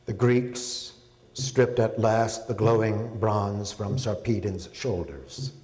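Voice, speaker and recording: Slow, low-voiced man in echoing hall. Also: darkish tone. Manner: measured, deliberate, solemn phrasing. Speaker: professor and translator reading. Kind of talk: dramatic poetry recital, epic narration. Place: indoor hall, public reading. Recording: echoey hall with long reverb.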